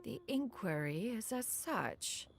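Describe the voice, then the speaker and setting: A haughty immortal from a fantasy game. Her voice has a gravelly creak and swings through lively intonation, while her phrasing stays stiffly formal and archaic. Music plays behind her. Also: fairly high pitch, slow pace, exaggerated voice. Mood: stiff, hesitant. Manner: stiffly formal, archaic, calls herself "one". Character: proud, aloof immortal crane adeptus in woman's form. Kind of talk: video game voice acting.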